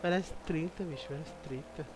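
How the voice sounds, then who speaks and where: Male streamer, casual joking asides, loud game music behind. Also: a little high, fairly quick. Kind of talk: casual live gameplay commentary.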